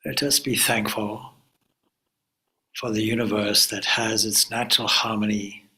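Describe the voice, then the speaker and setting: Slow, low-voiced man guiding meditation. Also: rough recording. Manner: calm, deliberate, long pauses between short phrases. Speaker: spiritual teacher leading meditation. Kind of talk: guided meditation.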